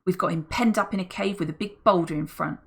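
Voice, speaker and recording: A woman's voice: fairly low pitch, fast talker, creaky. Woman voicing a menacing armed thug. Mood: gruff. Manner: loud, hard, threatening. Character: menacing armed male criminal, gruff and threatening.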